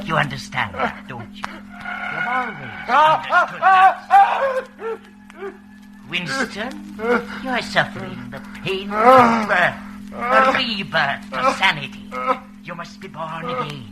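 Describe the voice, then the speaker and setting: An actor playing a calm, menacing male inquisitor of a totalitarian party, deliberate and condescending, in a radio drama. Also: high-pitched, over music, rough recording. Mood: coldly fervent. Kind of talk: old radio drama acting.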